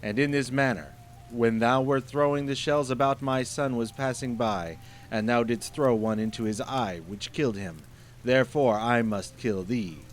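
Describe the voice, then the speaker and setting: A man's voice: moderate pace, a little hiss or hum, natural voice. A man voicing a huge, menacing ancient genie. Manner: booming, menacing, archaic speech.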